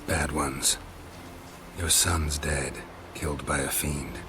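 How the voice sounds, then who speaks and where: An actor playing a gruff, terse monster hunter in a video game, gravelly and deadpan. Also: male voice, a bass voice, slow pace, noisy background, full character voice. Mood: terse, dry. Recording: music behind the voice. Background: game music.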